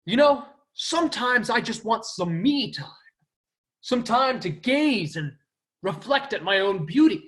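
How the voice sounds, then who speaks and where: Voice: mid-range voice, lively intonation, a little slow, fairly bright tone. An actor playing a vain, pompous male superhero who admires his own looks. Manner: pompous, preening.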